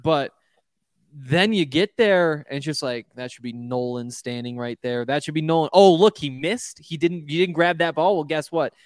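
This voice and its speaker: A man with a fairly high, smooth voice who rambles wryly about his bets and makes fun of himself along the way. He talks over a video call. The sound is dry and close, with no room echo. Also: moderate pace. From Montana. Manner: wry, rambling, self-deprecating. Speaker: podcast co-host. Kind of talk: casual sports betting chat.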